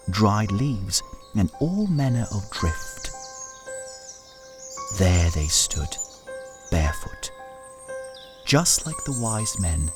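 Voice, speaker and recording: A man with a very low voice tells a folktale as a scripted narration. His delivery is solemn and dramatic, taken at a very slow, deliberate pace. Music plays clearly behind him. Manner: measured, solemn, deliberate phrasing. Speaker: storyteller and narrator. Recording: studio narration over music.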